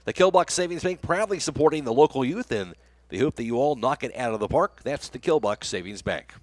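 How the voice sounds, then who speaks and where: A man's voice: medium pitch, animated, a little fast, no room sound. An American man doing live radio play-by-play of a baseball game as the announcer. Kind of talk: live sports commentary.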